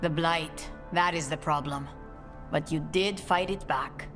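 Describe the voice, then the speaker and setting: An actress playing a poised, sociable woman of an assassin guild in a video game, speaking in an elegant, measured way. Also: deep voice, steady tone, over music. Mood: wistful, resolute. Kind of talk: video game cutscene dialogue.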